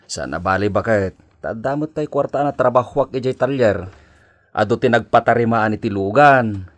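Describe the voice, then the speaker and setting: Actor as placating husband, dry close sound. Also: male voice, mid-range voice, normal speed, dry recording, natural voice. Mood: conciliatory. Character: placating working husband, a car mechanic. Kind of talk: Philippine radio comedy drama acting.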